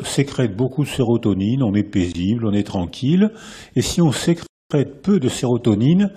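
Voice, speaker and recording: Husky French man giving a lively lecture. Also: medium pitch. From France. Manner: lively, restarts phrases, builds points by repetition. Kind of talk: public lecture to a live audience. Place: lecture hall with an audience.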